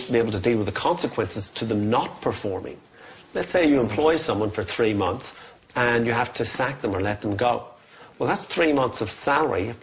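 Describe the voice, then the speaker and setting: Man, deeper register, business coaching, instructive and emphatic, informal, dull recording. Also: gravelly, slight background noise. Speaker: sales trainer giving advice.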